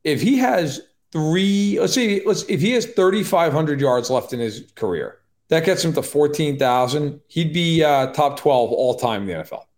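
Quick, opinionated man, fairly high voice. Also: expressive, a little mellow. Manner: quick, opinionated, wry asides. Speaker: podcast guest analyst. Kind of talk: casual sports analysis chat. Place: live-streamed podcast.

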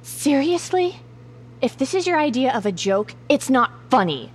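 Fed-up shop coworker played by an actress, horror audio drama: higher voice, lively swings, scornful and scolding. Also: female voice, moderate pace, slight background noise. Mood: angry, scornful. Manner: scolding, exasperated. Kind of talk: horror audio story acting.

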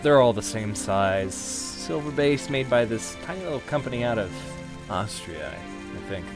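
A man playing a hesitant, guilt-ridden widowed husband confiding in an audio drama, with halting delivery. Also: mid-range voice, lively intonation. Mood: wistful, sad. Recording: background music under the voice.

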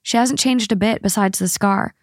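Even-voiced woman reading posts aloud. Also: mid-range voice, normal speed, no room sound, clean studio sound. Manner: reads long posts aloud in an even, steady voice. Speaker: podcast co-host.

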